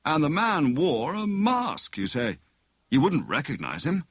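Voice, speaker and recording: A man's voice: a little high, sing-song, unhurried, husky, no echo, studio quality, voice pushed up, exaggerated voice. A man voicing a big, matter-of-fact British doctor with an authoritative, clipped manner in an audiobook. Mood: curious.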